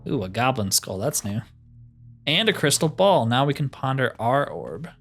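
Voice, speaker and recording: A man hosts a gameplay video with music playing behind him. He talks in a mid-pitched voice, casual and easygoing, thinking out loud as he plays. His commentary is chatty and informal. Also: sing-song, normal speed. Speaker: gaming video host.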